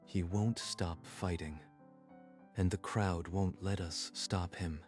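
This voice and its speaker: Deep, slow, calculating schemer played by a man. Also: steady tone, a little mellow. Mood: ominous. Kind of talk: scripted dramatic dub acting. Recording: music behind the voice. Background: background music.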